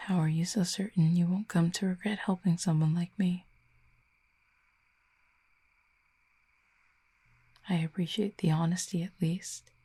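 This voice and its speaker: Tender but guarded female Cupid played by a woman, intimate roleplay, low and gravelly-soft, measured formal phrasing. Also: steady tone. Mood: hesitant, softened. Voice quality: soft, husky, breathy. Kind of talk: intimate audio roleplay acting.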